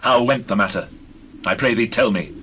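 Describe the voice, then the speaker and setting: An actor playing a solemn warrior leader of ancient Israel in slow, measured declamation, in a scripture audio drama on old cassette. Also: male voice, lowish voice, pushed and bright. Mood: grave questioning. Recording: noisy old cassette tape recording.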